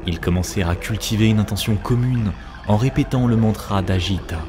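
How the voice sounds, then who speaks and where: Male narrator, deep voice, scripted storytelling, clear music behind. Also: fairly quick.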